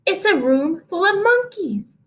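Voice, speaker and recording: A woman's voice: very high-pitched, big pitch swings, measured pace, fairly bright tone, voice pushed up, exaggerated voice. A woman voicing a nice but flustered schoolteacher in a storybook, exclaiming emphatically. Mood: incredulous.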